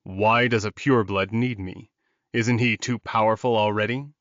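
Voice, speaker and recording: A man's voice: lowish voice, steady tone, normal speed, fairly bright tone, no room sound, muffled recording, lightly acted. A man voicing a weary, defiant captive male werewolf in an audiobook, grumbling and pleading.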